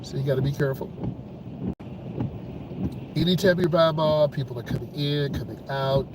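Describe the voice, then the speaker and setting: A man with a stuffed-up cold calmly explains his driving to viewers while he drives a car in slow traffic. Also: a little high, recorded from a distance. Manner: calm, unhurried, explanatory. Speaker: driving instructor. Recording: music loud behind the voice.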